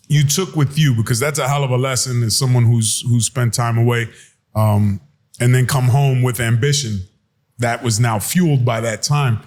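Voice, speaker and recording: A man from New York hosting a podcast interview in an informal, streetwise, conversational way. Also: mid-range voice. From New York, United States. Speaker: podcast host.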